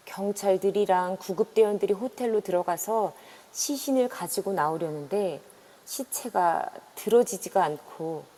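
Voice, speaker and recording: A woman's voice: mid-range voice, warm tone, lightly acted. A woman voicing a worried middle-aged woman who earnestly warns visitors away, in an amateur audio drama. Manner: earnest, urgent pleading. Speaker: voice actor. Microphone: phone in an online voice room. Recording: long reverb on a voice chat app.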